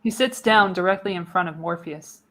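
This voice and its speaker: A woman's voice: fairly low pitch, normal speed, a bit bright. A woman narrating screenplay stage directions for a live radio play, reading expressively with the occasional stumble. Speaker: narrator, show host.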